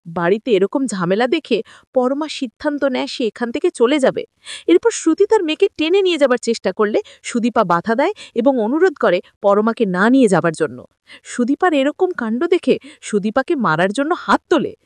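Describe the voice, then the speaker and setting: A woman narrates a scripted drama recap in a high voice. Her pitch moves up and down in a lively way, and the sound is close and dry, with no room echo. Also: moderate pace. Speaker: narrator of TV serial episode recaps. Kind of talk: scripted story recap narration with acted-out dialogue.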